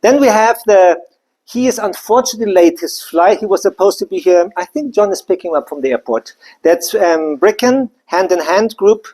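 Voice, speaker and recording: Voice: medium pitch, lively intonation, normal speed, darkish tone, poor audio. A speaker from Germany, giving a live business presentation in a loose, conversational way with many side remarks. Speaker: company presenter.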